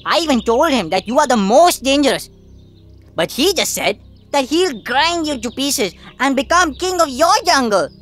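A woman voicing a small, cunning, put-upon male cartoon rabbit with an excitable manner. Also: high voice, faint music behind. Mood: pleading. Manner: pleading, quick-witted, excitable. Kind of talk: animated cartoon acting.

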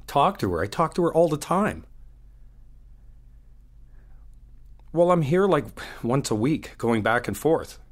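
A man playing an easygoing, flirty hotel guest in an audio roleplay, chatting casually and haltingly in one-sided phone talk. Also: on the high side, sing-song. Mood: smitten, bashful. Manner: casual, halting, slangy, swearing.

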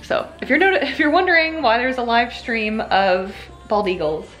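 A woman's voice: a little high, big pitch swings, moderate pace, music in the background. A woman in her thirties chatting casually as a home vlogger, in a rambling, conversational way. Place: indoors at home.

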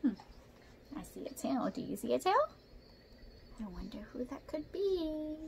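A woman's voice: sits high, very animated, unhurried, gravelly, mellow. Young woman reading a storybook, noisy recording. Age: young adult. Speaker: storyteller reading a picture book to children. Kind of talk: expressive read-aloud storytelling with asides to young listeners. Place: small indoor room at home. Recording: noisy home room recording.

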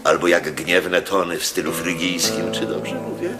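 An actor playing an aging Roman proconsul who speaks in a lofty, brooding, theatrical way in a radio play. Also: male voice, sits low, very animated, moderate pace, over loud music. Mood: musing. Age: older. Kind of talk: 1980s-style radio drama.

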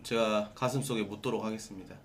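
A man's voice: fairly low pitch, steady tone, fast talker. A young man hosting a live stream from his desk, speaking in stiff, formal polite speech as a dry, teasing mock boss to a caller. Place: small room at a desk, indoors.

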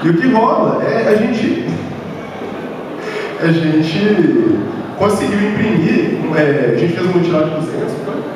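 Male panelist, somewhat high voice, joking easy manner, distant mic in a hall. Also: lively intonation, unhurried, pauses often. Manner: loose, joking, hesitant restarts. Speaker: panel speaker. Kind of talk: casual convention talk. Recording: echoey hall from far off, noisy.

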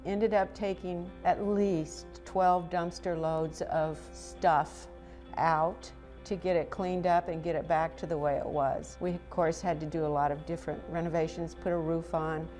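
A woman's voice: low voice, steady tone, measured pace, mellow, soft background music. An older American woman speaking informally as an interviewee, on a lapel mic in a large old building. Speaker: museum representative, interviewee. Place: large old brick livery stable, indoors.

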